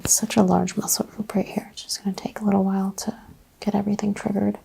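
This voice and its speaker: A soft-spoken woman playing a chiropractor in an ASMR roleplay, talking in a calm clinical way and often dropping to a whisper. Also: medium pitch, quick pace, creaky, a little hiss or hum, rough recording.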